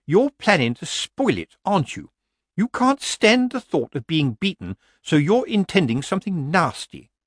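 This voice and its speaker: Officious storybook witch, male reader acting her: high-pitched woman, prim, stern, full of accusation. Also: sing-song, moderate pace, no room sound, clean studio sound. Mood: accusing.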